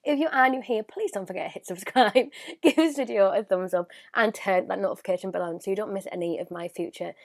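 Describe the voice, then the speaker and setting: A woman's voice: medium pitch, fairly quick, gravelly. Congested woman vlogger with a cold. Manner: chatty, giggly, drops to a near whisper while applying makeup. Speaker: beauty vlogger. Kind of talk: casual makeup review.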